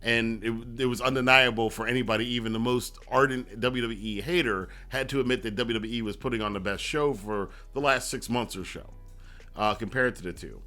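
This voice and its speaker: Man, review host, medium pitch, casual commentary, conversational, rambling asides, repeats points for emphasis. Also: brisk, pushed and bright, faint music behind.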